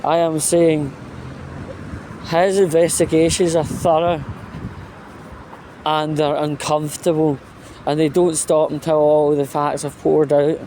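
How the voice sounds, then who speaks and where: Slow-talking, sweary man ranting over clear noise. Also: on the high side, level tone, dark tone, poor audio. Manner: blunt, sweary, emphatic. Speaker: vlog host. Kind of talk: informal spoken rant.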